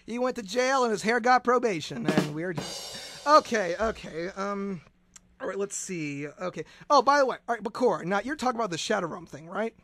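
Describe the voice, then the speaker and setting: A man hosting a comedic radio show, excitable and stammering through restarts, now and then shouting. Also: high-pitched, normal speed, faint music behind.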